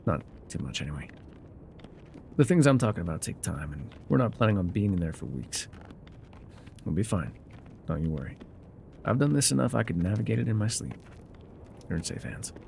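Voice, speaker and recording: A man playing a laconic, weathered frontier guide in a calm, haunted, musing ASMR roleplay, with a low gravelly voice and folksy pauses. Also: slight background noise, heavily acted. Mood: reassuring. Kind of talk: immersive ASMR roleplay monologue.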